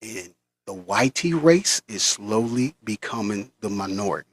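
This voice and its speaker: A man's voice: lowish voice, lively intonation, unhurried, slightly rough, dry recording. Fired-up man ranting to camera. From United States. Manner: impassioned, preachy rant. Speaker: vlogger. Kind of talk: monologue.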